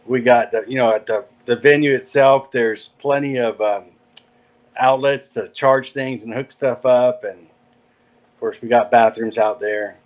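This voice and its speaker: Through slightly muffled, lo-fi audio, a man with a mid-pitched voice answers casually as an interview guest. His manner is easygoing and plainspoken. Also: steady tone, measured pace. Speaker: podcast guest, marina director. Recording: dull, lo-fi call audio.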